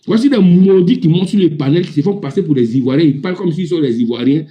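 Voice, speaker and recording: Ivorian male, heated panel debater, somewhat high-pitched yet very mellow-toned, insistent, repetitive, informal delivery. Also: lively intonation, quick pace, highs cut off. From Ivory Coast. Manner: indignant, emphatic, repetitive. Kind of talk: heated informal political rant on a live audio panel.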